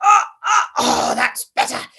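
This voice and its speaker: A woman voicing a mischievous little old male fairy changeling who shouts and grumbles loudly. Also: very high voice, animated, a little slow, vocal fry, heavily acted. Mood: greedy. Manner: loud, shouting, gleeful.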